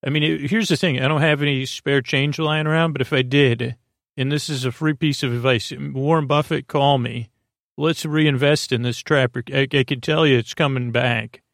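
A man with a creaky, gravelly voice hosting a bedtime podcast, rambling in a halting, stammering, meandering way. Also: mid-range voice, steady tone, fairly bright tone, dry recording, studio quality. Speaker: podcast host.

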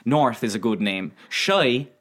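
Playful Irish man with a lively voice. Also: medium pitch, slow pace. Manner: jokey, playful, laughs often, swears casually. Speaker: podcast co-host. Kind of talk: casual comedic chat.

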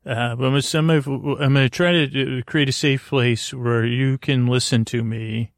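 Guy doing a rambly sleep podcast, lots of vocal fry, stammers and wanders off topic, really dry closet sound. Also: mid-range voice, steady tone, dry recording. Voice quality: creaky, gravelly. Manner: halting, stammering, digressive. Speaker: podcast host.

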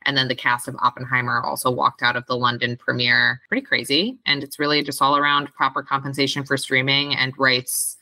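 A woman's voice: rumbling low, little pitch movement, brisk, hardly any pauses, sharp tone, no echo, low-quality recording. A woman co-hosting a podcast, chatting about the news in an informal, conversational way. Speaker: podcast co-host. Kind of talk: casual podcast news chat.

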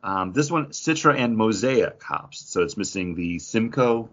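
A man's voice: fairly low pitch, expressive, a little slow, lo-fi sound. A man co-hosting a podcast in casual, jokey conversation with friends. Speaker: podcast co-host and editor. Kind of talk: casual chat about beer and the show.

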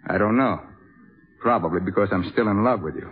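An actor playing a troubled, defensive young jazz trumpeter in a 1950s radio drama, stammering and emphatic. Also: male voice, lowish voice, a little fast, slight background noise, lightly acted. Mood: exasperated, pleading. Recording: muffled, band-limited 1950s radio recording.

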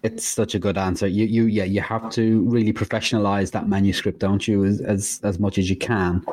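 Man, co-host, somewhat low pitch, upbeat, repeated restarts. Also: flat delivery, a little fast, a little mellow. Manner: enthusiastic, stammering restarts. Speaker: podcast co-host. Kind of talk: podcast interview.

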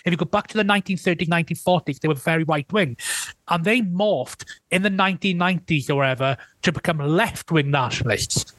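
A Welsh man talking as a guest historian in a relaxed interview, thoughtful and hedging, often restarting his sentences. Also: a little high, fast talker, dry recording. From Wales. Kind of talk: casual interview conversation.

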